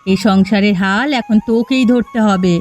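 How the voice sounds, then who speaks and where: A woman's voice: medium pitch, normal speed, darkish tone, soft background music, natural voice. A woman voicing an honest, caring aging mother in a cartoon who scolds her son affectionately. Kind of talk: Bengali cartoon dubbing.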